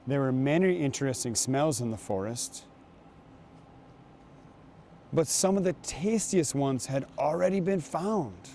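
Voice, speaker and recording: A man reading aloud and talking to children as a guest reader, with an unhurried, storytelling manner. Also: medium pitch, expressive, dark tone, slight background noise. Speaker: guest reader, basketball coach.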